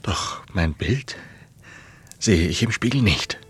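Husky, slow-speaking ailing male writer. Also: sits low, animated, a bit bright, exaggerated voice. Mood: haunted, tense. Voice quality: hoarse, breathy. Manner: slow, deliberate, dramatic delivery. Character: ailing brooding male Romantic writer spinning eerie tales. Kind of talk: German radio play acting.

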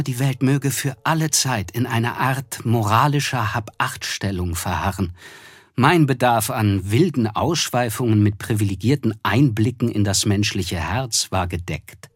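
An actor playing a reflective, disillusioned man who narrates his past in a literary radio play, in a measured way. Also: medium pitch, breathy edge, soft background music. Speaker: first-person narrator.

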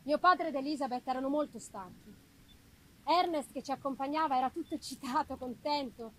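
A woman reading a gothic novel aloud as dramatic narration, with solemn, theatrical, deliberate phrasing. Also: high-pitched. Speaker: literary reader. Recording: noisy live performance recording. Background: background noise and ambience of live performance.

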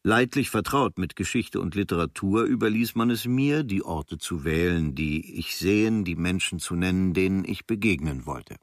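A low-pitched, reflective male writer looks back on his journeys. He reads his memoir in a measured, formal way, with quiet gratitude. The sound is very dry, with no room around the voice. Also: animated, dry recording. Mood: reflective, grateful. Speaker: reader of a writer's travel diary preface. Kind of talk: literary first-person reading of a memoir.

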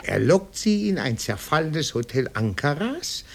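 An actor playing a fussy, zealous petty-bourgeois amateur detective in a radio play, declaiming in pedantic, flowery phrases. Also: male voice, on the high side, sing-song, measured pace, vocal fry, exaggerated voice. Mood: rapturous, dramatic. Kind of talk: 1950s German radio play acting.

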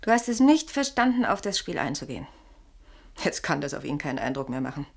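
A woman's voice: sits low, big pitch swings, a little fast, gravelly, slightly rough, full character voice. An actress in a German radio play voicing a cool, probing woman who provokes and reproaches, controlled and pointed in manner. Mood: reproachful, dismissive.

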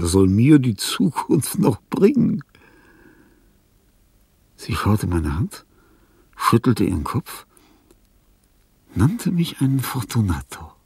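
Husky, dying old artist. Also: male voice, on the low side, a little mellow, no echo, exaggerated voice. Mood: reminiscing. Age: older. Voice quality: husky, creaky. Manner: theatrical, brooding, slips into whispering. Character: sick, weary old artist, dying, morbid and fussy. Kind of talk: radio drama monologue.

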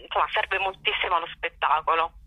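Husky, low-voiced woman explaining by phone. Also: quick pace, bright, forceful tone, no room sound, rough recording. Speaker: playwright and co-director, interview guest. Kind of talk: conversational phone interview.

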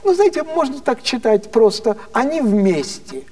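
A man voicing a genteel, excitable theatre director in an anecdote, eagerly coaxing as he improvises. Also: very high voice, measured pace, recorded from a distance, voice pushed up, heavily acted. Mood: inspired, coaxing.

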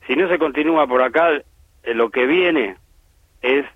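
A man's voice: mid-range voice, unhurried, husky, no echo. Man explaining over a dull line. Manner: explanatory, emphatic, with frequent "eh" and "este" fillers. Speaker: aviation industry commentator. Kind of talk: radio phone-in analysis.

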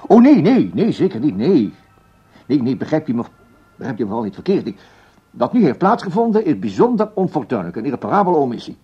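An actor playing a flustered, fawning broadcasting boss in a radio play, stammering and turning snappish with orders. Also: male voice, mid-range voice, wildly swooping pitch, quick pace, warm tone, lo-fi sound, a little hiss or hum. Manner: stammering and obsequious, snapping when giving orders. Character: flustered, fawning male broadcasting boss who barks at staff.

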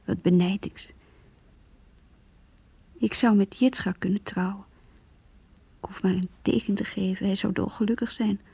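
An actress playing a heartbroken young woman who confides her lost love in an intimate, halting radio play monologue, breathy and hushed. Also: medium pitch, fairly even intonation, dry recording. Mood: wistful, envious.

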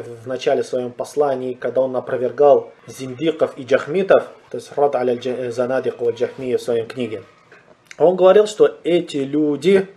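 A man's voice: mid-range voice, dark tone. A man giving a religious lecture. He explains Arabic terms step by step, asks questions and answers them, and often repeats or corrects himself. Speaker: Islamic lecturer.

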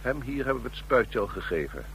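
An actor playing a cool, manipulative secret agent in a radio drama, deliberate, insinuating and coaxing. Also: male voice, medium pitch, very animated, vocal fry, a bit bright. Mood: cool, businesslike. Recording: noisy, humming old radio recording.

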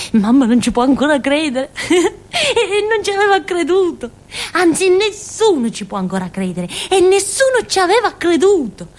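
Stage monologue role: naive young village simpleton, Calabrian accent, very lively pitch swings, chatty and confiding, rambling with small grunts. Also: female voice. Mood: indignant. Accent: southern Italian, Calabrian. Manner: childlike, rambling, punctuated by little grunts and tag questions. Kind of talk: theatrical first-person monologue in colloquial dialect-tinged Italian.

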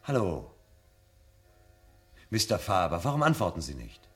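A low-voiced man in a radio play, earnest and politely urgent. His intonation moves a great deal as he presses and probes. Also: very animated, unhurried, fairly bright tone, soft background music, exaggerated voice. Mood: insistent. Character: earnest, insistent man seeking forbidden knowledge. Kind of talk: German radio drama acting.

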